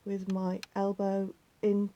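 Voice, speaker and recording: A woman with a slightly croaky voice, patiently talking viewers through a craft step by step and often dropping to a near whisper. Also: medium pitch, monotone, unhurried, warm tone, highs cut off. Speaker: needle felting teacher. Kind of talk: hands-on craft tutorial.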